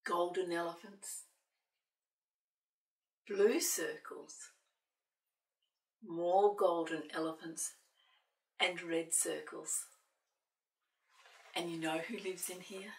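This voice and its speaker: A woman's voice: lowish voice, fairly even intonation, slow, long pauses. An older woman presenting a children's storytime, reading a picture book in a playful sing-song way with questions to her young listeners. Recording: roomy echo, distant microphone.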